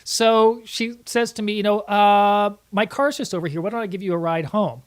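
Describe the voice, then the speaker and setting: A middle-aged man hosting a comedy show, talking casually and telling anecdotes in an animated way. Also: high-pitched, moderate pace, clear, resonant tone. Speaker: host of a live comedy film-riffing show. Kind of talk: casual chat, anecdotal storytelling.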